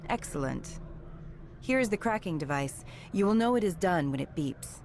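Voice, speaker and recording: An actress playing a secretive female alien agent in a game, with a measured, formal, businesslike manner. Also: lowish voice, animated, noisy background.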